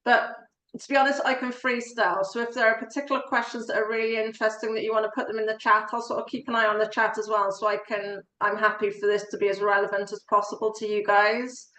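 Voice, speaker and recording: A woman in her forties from South Wales with a Welsh accent, a solicitor giving a relaxed, chatty presentation on an online call. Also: fairly high pitch, quick pace, reverberant, slight background noise, low-quality recording.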